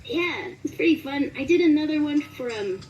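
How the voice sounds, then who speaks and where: A woman's voice: high voice, animated, normal speed, a little mellow, recorded from a distance, soft background music, low-quality recording. A woman chatting casually and animatedly as an interviewed voice actor. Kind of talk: casual chat.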